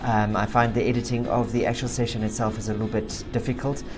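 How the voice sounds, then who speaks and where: A South African woman with a very deep voice narrates a spiritual update, reading transcripts aloud in an emphatic way. Music plays clearly behind her. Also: level tone, fast talker. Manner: emphatic, forceful when reading the client. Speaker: video host, spiritual practitioner. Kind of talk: narration read from session transcripts.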